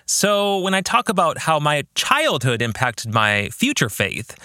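A middle-aged man gives a reflective solo podcast talk in a bright, forward voice. His pitch moves in a very lively way as he earnestly leans on key phrases. The sound is close and dry. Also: no room sound. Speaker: podcast host and author. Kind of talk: reflective monologue about faith and fiction.

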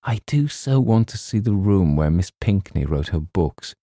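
A man's voice: low voice, animated, unhurried, dark tone, no echo, clean studio sound. A man voicing a sweet, fragile, romantic young woman in a storybook, with a breathless, gushing, hesitant manner. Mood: shy, earnest.

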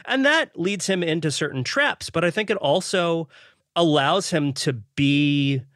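A man's voice: fairly high pitch, animated, measured pace, a bit bright, no room sound, studio quality. A man on a podcast panel, arguing a point thoughtfully and often repeating words as he builds his sentences. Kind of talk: casual panel discussion.

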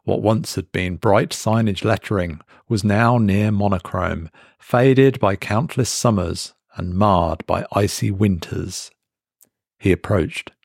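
A man reading a scripted horror story as a dramatic narrator, measured and deliberate with pauses for effect. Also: fairly low pitch, vocal fry, darkish tone, no room sound, studio quality.

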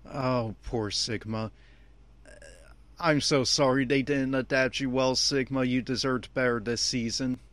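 Man, medium-pitched voice, emphatic, opinionated, spontaneous episode commentary, dry room-free sound. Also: steady tone, measured pace, fairly bright tone, no echo. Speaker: anime commentator. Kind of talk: off-the-cuff audio commentary on an anime episode.

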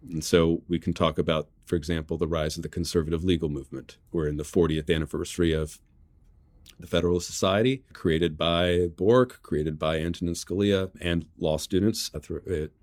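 Very deep-voiced man, measured and analytical. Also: steady tone, darkish tone, dry recording. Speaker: interview guest, political journalist and author. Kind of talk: analytical political conversation.

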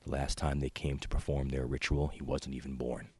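A man's voice: extremely low pitch, little pitch movement, a little fast, dark tone. A man reading his own literary short story aloud in a folksy storytelling manner.

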